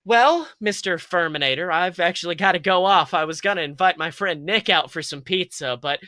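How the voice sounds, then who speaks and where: A man voicing a young female rabbit police officer, sensible and caring, who teases and gently scolds in a story reading. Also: high-pitched, fairly quick, no echo. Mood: teasing.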